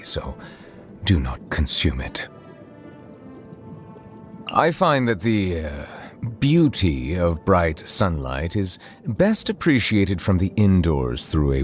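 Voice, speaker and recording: A man playing a reserved, eloquent chief judge in a video game, speaking in a formal and measured way. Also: sits low, heavily acted. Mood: reserved, dry. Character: formal, reserved male chief judge, secretly a water dragon. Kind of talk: scripted game character voice lines. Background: music.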